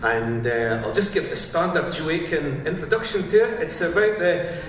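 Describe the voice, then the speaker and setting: A Scottish man introducing a song to a concert audience in an easygoing, chatty way with a gentle humor. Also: fairly high pitch, moderate pace, slight background noise. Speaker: folk singer introducing a song. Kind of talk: informal stage introduction. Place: town hall concert, live audience. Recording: echoey hall, voice from far off, audience heard.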